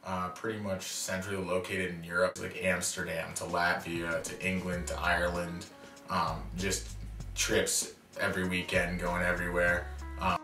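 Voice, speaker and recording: A young man with a very deep, flat voice talks in a relaxed, rambling way. He sits back from the microphone in an echoey room. Faint music runs behind him. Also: normal speed, far from the mic. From Underhill, Vermont. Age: young adult. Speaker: college student. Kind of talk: casual interview testimony.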